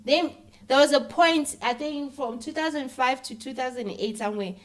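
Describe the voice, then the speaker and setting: A woman with a South African accent talking casually as an interview guest, animated and peppering her speech with "you know". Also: sits high, moderate pace.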